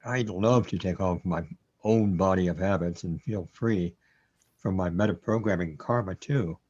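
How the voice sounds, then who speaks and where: A man playing a calm, wry metaphysical guide in a radio play, unhurried and matter-of-fact, on a dull lo-fi recording. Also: deep voice, breathy edge.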